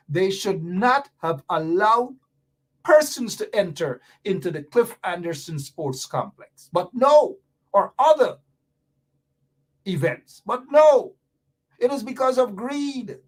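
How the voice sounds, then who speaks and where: Guyanese man, radio talk host, unhurried, sarcastic political rant, repeats for emphasis. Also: sits high, lively intonation. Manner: sarcastic, mocking, repetitive emphasis. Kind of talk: fiery political commentary.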